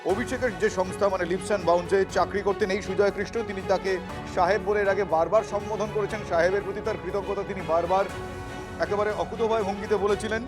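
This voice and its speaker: Male news anchor. Rapid, emphatic, repetitive delivery in a high register. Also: fairly even intonation. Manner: emphatic, repeats key phrases. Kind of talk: live news commentary. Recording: music bed behind voice. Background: news music.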